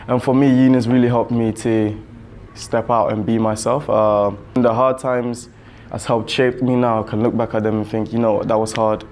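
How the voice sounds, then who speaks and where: A man's voice: medium pitch, flat delivery, moderate pace, a little mellow, a little hiss or hum. A young man giving laid-back interview answers, full of fillers. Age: young adult. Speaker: student interviewee.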